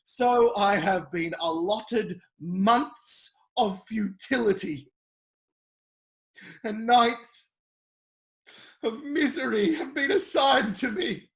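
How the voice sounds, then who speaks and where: An actor playing an anguished sufferer who pleads bitterly with God and friends in an epic, halting, impassioned dramatic scripture reading. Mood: weary misery. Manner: impassioned, halting, swinging from low murmurs to anguished shouts. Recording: roomy, echoing space.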